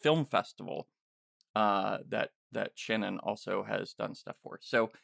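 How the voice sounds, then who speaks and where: A man hosting a podcast in a casual, chatty style, speaking in a halting way with frequent restarts. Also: lowish voice, creaky, fairly bright tone, no echo, studio quality. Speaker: podcast host and interviewer. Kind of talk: casual interview chat.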